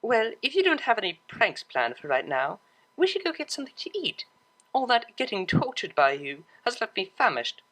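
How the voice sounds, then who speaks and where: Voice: mid-range voice, wildly swooping pitch, moderate pace, fairly bright tone, voice pushed up, poor audio. A performer voicing a refined young mare who plays cello, prim and easily flustered, in an audio story. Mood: prim, amused. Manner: prim, formal phrasing.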